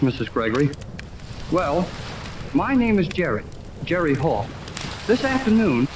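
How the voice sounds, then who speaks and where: Eager slangy teen boy in an old 30s radio serial, high swoopy voice, noisy far-off recording. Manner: excitable, slangy, earnest. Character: eager, indignant teenage boy amateur radio fan. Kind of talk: 1930s radio serial acting. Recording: noisy, distant 1930s radio recording, rain behind.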